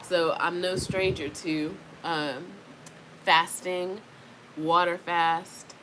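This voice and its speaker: Slow, congested woman chatting at home. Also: on the low side, flat delivery. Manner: rambling, chatty, frequent fillers. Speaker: wellness vlogger. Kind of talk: casual talking-to-camera vlog. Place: home with fans running. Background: faint fan noise.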